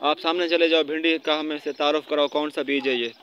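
A man's voice: on the high side, fairly even intonation, fairly quick, fairly bright tone, lo-fi sound, a little hiss or hum. A man hosting a casual vlog tour of a village garden, speaking to his followers and asking questions, recorded outdoors on a phone. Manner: chatty, addresses followers, asks many questions. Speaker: vlogger giving a garden tour.

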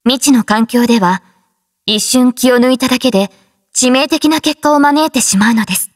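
Female android soldier for anime game: gentle, formal, composed, slightly high breathy voice. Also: normal speed, dry recording, studio quality. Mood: gently lecturing. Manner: polite, formal, soft-spoken. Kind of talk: Japanese anime game voice acting.

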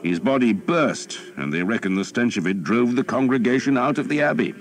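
A man's voice: mid-range voice, lively intonation, fairly quick, soft background music, voice pushed up, close to an everyday voice. A man voicing a cheerful, glib English earl who chats breezily in an audiobook. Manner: chatty and breezy.

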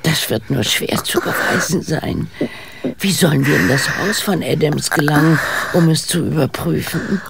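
A woman's voice: deep voice, moderate pace, vocal fry, background noise. An actress in a radio drama playing a level-headed woman sleuth, dry, wry and decisive. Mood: grave, composed.

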